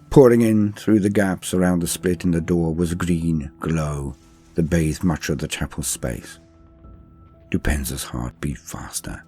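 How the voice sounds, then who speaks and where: A very low-pitched man narrates a story with grave, theatrical weight. His voice is husky and rough-edged, his phrasing deliberate, and faint music plays underneath. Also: warm tone. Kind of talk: dramatic audiobook-style story reading.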